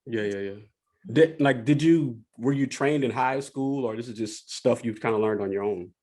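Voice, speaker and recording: A man's voice: medium pitch, normal speed, a little mellow. A man hosting a podcast, chatting casually and encouragingly as he interviews, often repeating short affirmations and phrases.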